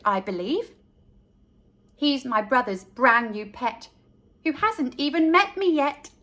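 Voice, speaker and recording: A woman's voice: high voice, fairly bright tone, heavily acted. A woman voicing a cheeky, self-assured three-year-old girl who narrates a rhyming storybook in an innocent sing-song manner.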